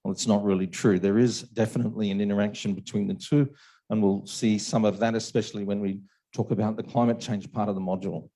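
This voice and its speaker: A man's voice: on the low side, steady tone, quick pace, mellow, rough recording. A man lecturing on a university module at an open house, talking with a sore throat and a tired voice, checking in often with "okay" and "right". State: sore throat, losing his voice.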